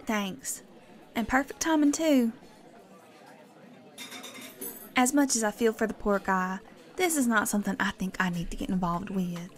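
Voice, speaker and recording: A woman's voice: medium pitch, normal speed, natural voice. A woman playing a guarded, skeptical young woman with a dry, sardonic manner in an audio drama. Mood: brisk, dismissive.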